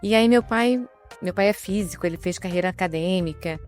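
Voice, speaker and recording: A woman in her fifties from Rio de Janeiro with a softened carioca accent, speaking as a guest on an executive panel in a measured, explanatory way with light humor. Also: on the low side, soft background music. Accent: Carioca Portuguese, softened. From Rio de Janeiro, Brazil. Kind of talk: panel discussion.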